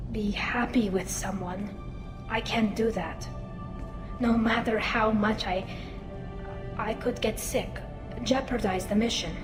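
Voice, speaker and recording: Sci-fi game heroine: woman playing a self-conscious masked alien, halting and sincere, echoing voice over prominent music. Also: a little high, natural voice. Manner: hesitant, earnest, halting. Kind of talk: cinematic sci-fi game acting. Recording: loud music behind, slight echo.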